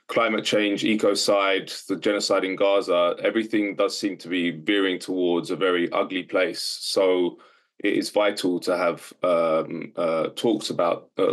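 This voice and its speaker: A man speaking as a roundtable guest in an earnest, urgent way with some fillers. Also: deep voice. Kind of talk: panel discussion.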